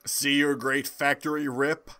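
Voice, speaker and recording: A man's voice: mid-range voice, level tone, measured pace, bright, forceful tone, no echo, studio quality. A man playing a stern, grandiose superhero in a comic book dramatic reading, declaiming pompously. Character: grandiose, stern male superhero wizard passing judgement.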